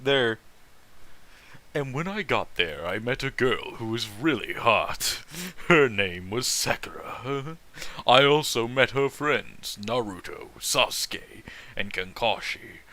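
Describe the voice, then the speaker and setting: A man's voice: medium pitch, animated, slow pace, sharp tone. A man hosting a casual read-aloud with mocking commentary, foul-mouthed and incredulous, often breaking off to react. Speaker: host reading fan fiction aloud and commenting.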